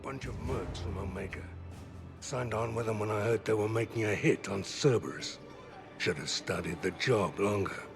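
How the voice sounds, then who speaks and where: Gravelly, cynical old-school mercenary. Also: male voice, fairly low pitch, normal speed. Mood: gruff, wry. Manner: blunt, sweary, grumbling. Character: gruff, cynical, hard-bitten veteran male mercenary. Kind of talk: cinematic video game acting. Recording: game music underneath.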